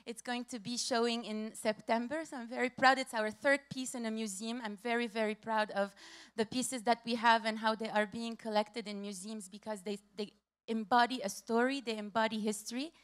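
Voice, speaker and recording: A woman in her thirties from Lebanon, giving a hurried, passionate conference talk from a stage with slides, repeating key phrases. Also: on the high side, fairly even intonation, clean tone. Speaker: conference speaker.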